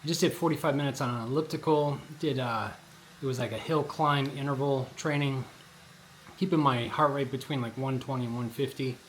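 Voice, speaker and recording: Hoarse man chatting loosely. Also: mid-range voice, fairly even intonation, fairly quick. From Colorado. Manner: rambling, with many fillers and restarts. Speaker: vlogger. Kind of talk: casual vlog chat. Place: outdoors at a shopping district in light rain. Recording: noisy outdoor recording.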